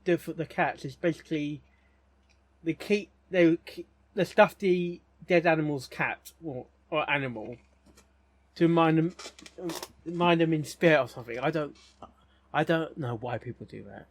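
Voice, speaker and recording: A man giving casual, rambling reaction commentary as a host, haltingly, often repeating words and phrases. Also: fairly high pitch, no echo.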